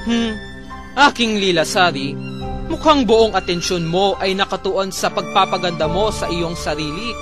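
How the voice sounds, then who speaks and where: A man's voice: high voice, normal speed, close to an everyday voice. A man playing a patient, doting sultan in a courtly, formal melodrama style. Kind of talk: melodramatic radio-drama acting. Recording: music behind.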